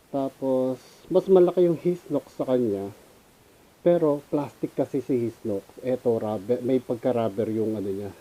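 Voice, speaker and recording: A man's voice: medium pitch, dark tone, low-quality recording. A Filipino man giving a casual, unscripted gear review as a motovlogger, informally mixing in English. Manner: informal, switches between Tagalog and English.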